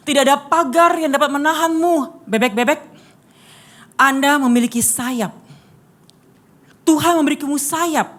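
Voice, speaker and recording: A woman's voice: high voice, pitched above the performer's own voice. A woman voicing a fervent duck preacher who rouses its flock with emphatic preaching.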